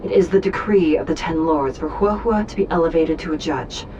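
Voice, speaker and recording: An actress playing a stern, composed judge in a video game, speaking in a formal, measured way. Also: female voice, low voice, mellow, muffled recording, slight background noise, natural voice. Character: stern, composed female judge, an illusory double. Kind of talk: video game character acting.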